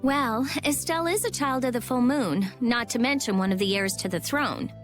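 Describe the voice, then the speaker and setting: An actress playing a spirited, expressive young female adventurer in an anime-style game. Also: on the high side, normal speed, soft background music, natural voice. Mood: curious.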